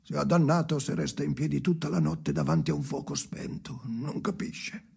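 An actor playing a bitter, anguished man speaking to his dead father in a literary radio drama, in halting short phrases, husky and gravelly, often sinking into a whisper. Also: a little high, steady tone, a little mellow, heavily acted. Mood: bleak, haunted.

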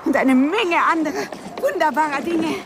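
An actress in a German radio play playing a dreamy, unhinged woman who speaks in a slow, coaxing, wistful way. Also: high-pitched, expressive. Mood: coaxing, rapt. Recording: loud wind, water and boat noise. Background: sea wind and rowing boat sounds.

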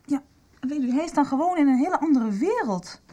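An actress playing a candid, supportive young girlfriend in a radio soap, explaining things in a halting, confiding way. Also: high voice, expressive, dark tone. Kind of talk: Dutch radio soap acting.

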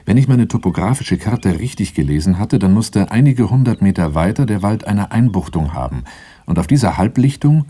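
Male, brooding first-person narrator for crime radio play, very low, dark mellow voice, self-assured and understated. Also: very animated, normal speed, slightly rough. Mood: watchful.

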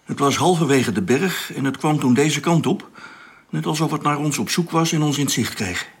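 An actor playing an alert, slightly halting male spaceship crewman in a radio play. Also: a little high, slightly rough. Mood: alert, urgent.

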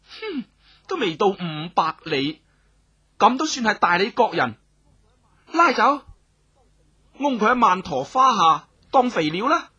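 Audiobook villainess, voiced by the narrator: imperious woman, cold menace, scornful delivery, sharp husky tone. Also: mid-range voice, big pitch swings, a little slow, pauses often, highs cut off, pitched above the performer's own voice, heavily acted. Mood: scornful, menacing. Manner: scornful snorts, commanding, menacing. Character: cold, cruel, imperious noblewoman passing death sentences.

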